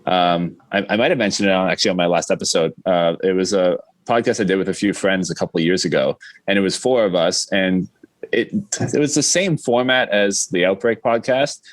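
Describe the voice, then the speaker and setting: Low-voiced man chatting casually, dry sound. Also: a little fast, no room sound. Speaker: podcast guest, solo podcaster. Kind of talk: casual podcast chat.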